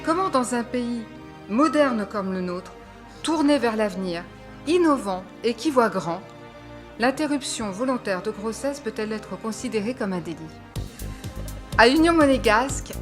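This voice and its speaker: A woman candidate making an earnest, pointed campaign statement. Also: fairly high pitch.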